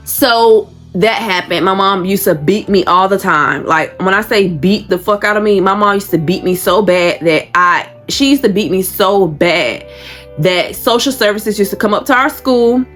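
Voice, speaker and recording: A woman in her thirties speaks straight to the camera, blunt and emotional, swearing freely as she tells her own story. Her voice sits at an ordinary middle pitch. Soft music plays underneath. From Georgia, USA. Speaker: vlogger. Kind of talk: candid personal storytelling.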